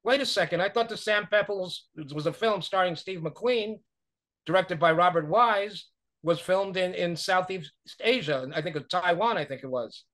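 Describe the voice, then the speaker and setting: Older man, higher voice, on a video call. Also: normal speed, fairly bright tone. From New York, USA. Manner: rambling, many fillers and restarts. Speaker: guest, author and publicist. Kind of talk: conversational interview. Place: small room at home. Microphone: video call webcam.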